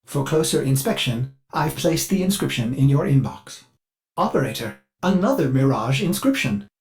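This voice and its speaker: A man's voice: medium pitch, sing-song, a little mellow. A man voicing an anxious, devoted ship AI servant for a video game, formal and theatrical, with a brooding streak beneath the politeness. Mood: eager, reciting. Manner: formal, theatrical, measured, fretful. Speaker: ship's AI assistant. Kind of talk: scripted video game dialogue.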